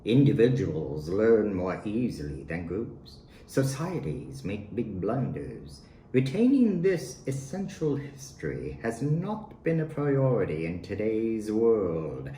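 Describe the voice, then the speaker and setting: A man reads his own poetry at a slow, deliberate pace, setting out short statements one by one. His pitch moves around freely from phrase to phrase. The room echoes around his voice, as in a home recording. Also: sing-song, warm tone. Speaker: poet reading own work. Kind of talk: spoken poetry reading. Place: at home in a residence. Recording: roomy home recording with faint noise.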